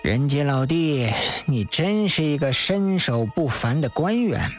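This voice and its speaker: A storyteller voicing a sly, mocking old Taoist master in an audiobook, gloating at a leisurely pace with drawn-out interjections. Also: medium pitch, lively intonation, soft background music, voice pushed down, close to an everyday voice. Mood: mock admiring.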